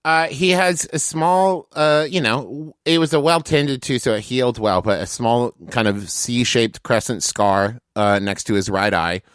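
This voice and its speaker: A man's voice: medium pitch, a little slow, hardly any pauses, fairly bright tone, smooth, no echo, clean studio sound. A man who is a tabletop game player, improvising character backstory in a casual, explanatory, conversational way full of filler words.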